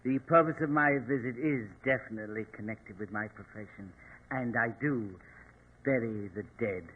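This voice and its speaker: An actor in a 1940s radio drama playing a sinister, smugly courteous mortician with a formal, unctuous, deliberate manner. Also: male voice, medium pitch, a little hiss or hum, natural voice. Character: sinister, politely menacing male mortician, smugly courteous henchman. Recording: tinny, band-limited old radio recording.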